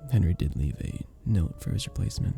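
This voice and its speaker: A very dark, mellow voice with a gravelly creak and lively rises and dips. It is a charming, sweet vampire bartender, acted out by a man, soft-spoken and courteous in the formal way of an audio roleplay. He sounds modest and gentle throughout. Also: low-pitched, fairly quick, faint music behind. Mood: gentle, confiding. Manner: gentle, polite, slightly formal, bashful chuckles.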